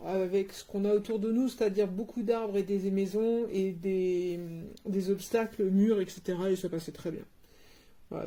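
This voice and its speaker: A woman with a soft-edged, mellow voice that sits fairly high. She reviews a product in a casual, plain-spoken way, talking through it as she handles it and thinking aloud along the way.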